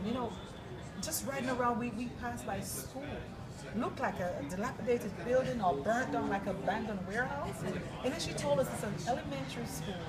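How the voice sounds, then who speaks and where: A woman from Jamaica, an interview guest, telling her story with expressive, animated delivery. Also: a little high. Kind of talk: emotional personal testimony. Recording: distant mic with loud crowd behind.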